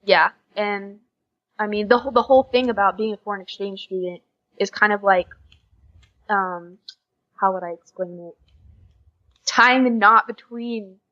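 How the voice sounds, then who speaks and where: A young American woman chatting casually as a podcast guest, telling stories in a lively, conversational way. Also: medium pitch, moderate pace, pauses often. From USA. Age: young adult. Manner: chatty, animated storytelling with quoted dialogue and frequent giggles. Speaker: podcast guest, exchange student. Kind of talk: casual interview chat. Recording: slightly dull, lo-fi audio.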